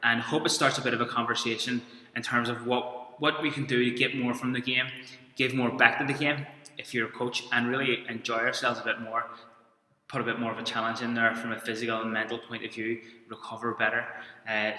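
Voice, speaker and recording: A man in his thirties from Belfast with a Belfast accent, giving a coaching webinar in an informal, halting way with frequent restarts. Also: mid-range voice, bright, forceful tone. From Belfast, Northern Ireland. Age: 30s. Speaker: strength and conditioning coach. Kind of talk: webinar presentation. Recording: echoey room with long reverb.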